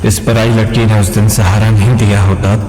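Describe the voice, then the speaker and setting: An actor playing a brooding, vengeful hero in an audio drama monologue, recorded with an echo. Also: male voice, on the low side, flat delivery, normal speed, warm tone, natural voice. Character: brooding, vengeful male hero narrating his past. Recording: roomy echo under music.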